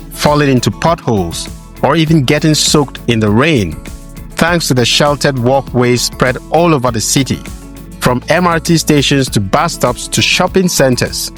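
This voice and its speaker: A man at middle pitch narrates a travel video from a script, steady and measured. Faint music plays underneath. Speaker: host, narrator.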